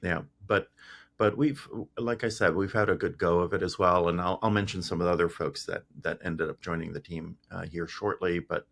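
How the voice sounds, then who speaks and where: A man's voice: low voice, moderate pace, gravelly. A middle-aged man from Greece, a guest on a talk show, telling his story casually with many fillers and restarts. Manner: relaxed, frequent fillers and restarts. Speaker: guest, tech founder.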